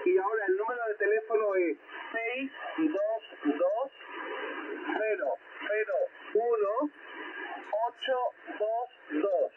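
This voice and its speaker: A man with an accent of Spain, chatting casually as an amateur radio operator. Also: high-pitched. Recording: tinny, static-laden shortwave radio transmission. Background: radio static.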